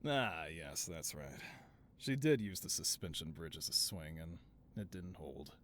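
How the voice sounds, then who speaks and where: A man's voice: rumbling low, animated, unhurried, a little hiss or hum, exaggerated voice. A man playing a flamboyant, doting mad scientist supervillain boss in a scripted audio roleplay, with a theatrical, wheedling, self-justifying manner. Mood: sheepish.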